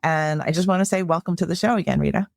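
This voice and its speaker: A woman psychologist hosting a podcast, introducing her guest in a measured, affirming way. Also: on the low side, steady tone, no room sound, studio quality.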